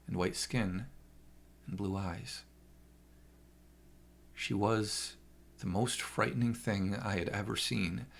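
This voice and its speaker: A man's voice: lowish voice, vocal fry, a bit bright. A man narrating a first-person horror story with an intense, theatrical delivery.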